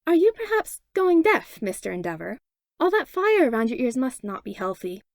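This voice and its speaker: Woman playing a tiny sugary-sweet lawyer lady, slow and cutting, dry close sound. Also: high voice, big pitch swings, gravelly, no echo, heavily acted. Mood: sweetly cutting. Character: small fearless woman lawyer, sickly sweet.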